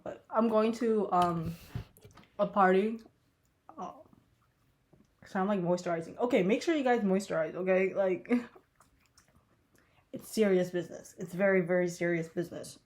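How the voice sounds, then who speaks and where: A young woman, a college student, chatting casually while getting ready, stuffed up and congested, rambling with lots of fillers, swearing and laughing. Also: on the low side. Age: young adult. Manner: rambling, profane, giggly, many fillers. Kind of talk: casual get-ready-with-me vlog chat.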